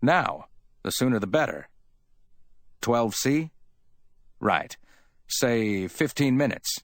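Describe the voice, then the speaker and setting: A man's voice: medium pitch, animated, slow, dry recording, pitched above the performer's own voice. A man reads as a cool hardboiled man in an audiobook story. He is wry and offhand, clipped yet lightly amiable, brushing things aside. The recording is close and dry. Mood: brisk, offhand. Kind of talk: dramatic audiobook character reading.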